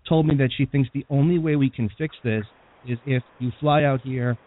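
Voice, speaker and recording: A man's voice: medium pitch, moderate pace. A man hosting a radio interview, chatty with lots of "you know" fillers. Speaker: radio host. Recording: tinny phone-like sound.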